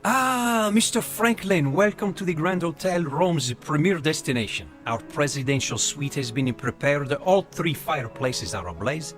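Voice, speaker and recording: A man's voice: mid-range voice, close to an everyday voice. Obsequious luxury hotel concierge, male actor, radio drama: polished, formal, mid-range voice, light music underneath.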